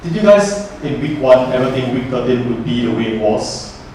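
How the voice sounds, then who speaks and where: A young man gives a playful, chatty student presentation, joking as he tells a personal story. His voice sits at a middle pitch and sounds far from the mic. A strong echo from a large hall surrounds it. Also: lively intonation, normal speed, a little mellow, slight background noise. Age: young adult. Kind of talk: lively presentation to a class.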